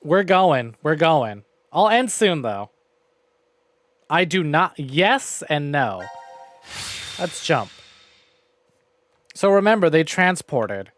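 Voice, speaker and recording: A man's voice: a little high, sing-song, slow, long pauses, a bit bright, soft background music. A man giving casual live-stream commentary on a game, sweary and thinking aloud.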